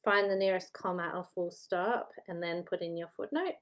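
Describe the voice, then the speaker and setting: A woman's voice: fairly low pitch, moderate pace. A woman in her thirties with an Australian accent and a slightly worn voice, chatting casually from home as a historian giving study tips. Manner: chatty, rambling, laughs at herself. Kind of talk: casual chatty vlog advice.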